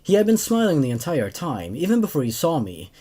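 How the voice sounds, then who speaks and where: A man's voice: on the high side, wildly swooping pitch, fairly quick, warm tone, breathy edge. A man in his twenties hosting and narrating horror stories, recorded indoors on a desk microphone. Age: 20s. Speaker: host narrator and reviewer. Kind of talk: reading horror stories aloud with commentary. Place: small dark room indoors. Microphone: desk microphone on a boom arm.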